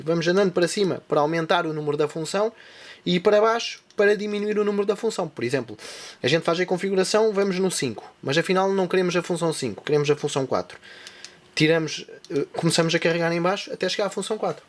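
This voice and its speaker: A man's voice: high voice, quick pace. A man with a European Portuguese accent hosting a tech channel, walking viewers through a device setup step by step as he demonstrates it. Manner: step-by-step explaining while demonstrating. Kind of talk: hands-on device tutorial.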